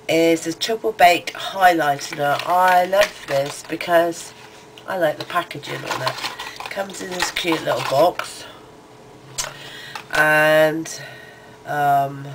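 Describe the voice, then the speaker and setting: A middle-aged woman with a cold, sounding nasal and congested, chatting loosely through a makeup haul with plenty of ums. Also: low-pitched. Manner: rambling, hesitant, lots of ums. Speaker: beauty vlogger. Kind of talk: casual makeup haul chat. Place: small cluttered bedroom, indoors. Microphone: webcam close to the face. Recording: noisy home webcam audio.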